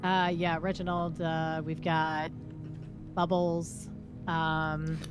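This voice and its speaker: A woman plays a breezy, teasing woman from a crime-city roleplay game. Her voice sits low and she chats bluntly and casually. Music plays faintly behind her. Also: little pitch movement, slow, dull audio. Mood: casual, explaining. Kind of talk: improvised online roleplay, casual chat.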